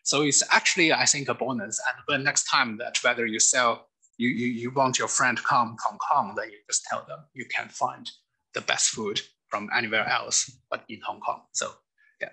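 Bright, husky man with a Chinese accent. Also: medium pitch, steady tone, normal speed, poor audio. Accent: Mainland Chinese. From mainland China. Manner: hesitant, many fillers and restarts. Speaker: lawyer giving a lecture. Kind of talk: webinar lecture over slides.

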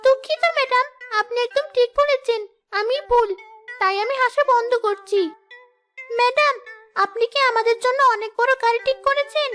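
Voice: very high-pitched, normal speed, sharp tone, soft background music. A performer voicing a young ghost schoolgirl in a children's cartoon, polite and eager. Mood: apologetic.

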